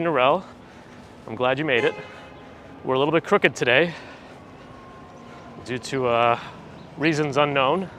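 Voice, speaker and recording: A man hosting a live walking stream, chatting casually and reading viewer comments with dry asides; his voice is a little phlegmy and gravelly. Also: medium pitch, a bit bright. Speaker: livestream host. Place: walking outdoors on city streets. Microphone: handheld gimbal camera rig with recorder. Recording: street noise behind the voice. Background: traffic and city ambience.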